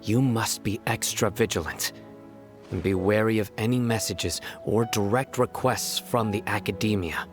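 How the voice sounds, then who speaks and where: A male actor as a stern, guarded young lawman in a video game, with a low voice. He is serious, blunt and controlled. Faint music sits behind him. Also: moderate pace, close to an everyday voice. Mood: stern, resolute. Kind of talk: scripted video game cutscene acting.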